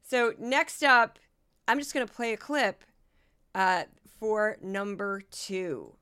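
A woman's voice: mid-range voice, animated, slow pace, sharp tone, clean tone. A woman hosting a news commentary podcast, talking sardonically and directly to her listeners while she is losing her voice. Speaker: podcast host.

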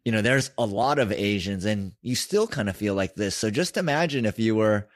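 Low-voiced male interviewer from San Francisco, curious and conversational, dry close sound. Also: lively intonation, a little fast, no room sound. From San Francisco, United States. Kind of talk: casual interview chat.

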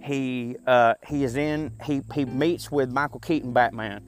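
A man's voice: medium pitch, steady tone, a little slow, faint music behind. Rambling Southern man in his thirties. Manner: chatty, profane, self-deprecating, frequent fillers. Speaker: podcast host. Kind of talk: casual rambling monologue.

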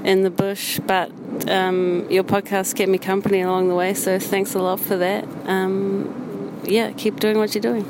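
A woman from New Zealand leaves a hesitant, friendly voice message. Her pitch stays level, and clear outdoor noise runs behind her. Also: level tone, unhurried, hardly any pauses. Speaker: listener sending a recorded greeting. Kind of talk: casual voice message. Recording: noisy outdoor phone recording.

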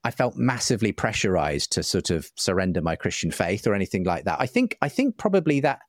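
A man's voice: on the low side, sing-song, a little fast, no room sound, studio quality. A middle-aged man giving an earnest, articulate, discursive explanation in conversation as an interview guest. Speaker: interview guest, writer and broadcaster.

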